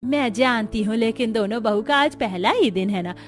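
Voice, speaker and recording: A woman's voice: a little high, normal speed, faint music behind, close to an everyday voice. A woman playing a patient, middle-aged village mother-in-law in a cartoon, coaxing and instructing. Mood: placating.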